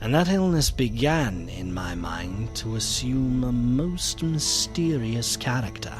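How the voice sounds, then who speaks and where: A grave, formal gentleman in a gothic radio play. His medium-pitched voice is deliberate and haunted, with faint music behind it. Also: lively intonation. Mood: grave, foreboding. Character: concerned, courteous gentleman friend, haunted narrator of gothic tale. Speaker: narrator and visiting friend. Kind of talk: gothic radio drama narration and dialogue.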